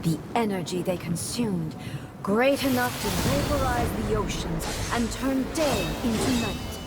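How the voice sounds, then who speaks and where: A woman's voice: on the high side, lively intonation, measured pace, heavy background noise. A woman playing a stern, ageless female guardian of eternity in a video game, solemn, stately and formal. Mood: ominous, solemn.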